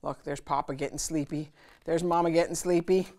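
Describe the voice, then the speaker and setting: A woman hosting a children's story time, reading aloud and pausing to chat with and question her young listeners. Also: low voice, fairly even intonation, dark tone. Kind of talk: animated read-aloud of a picture book for children.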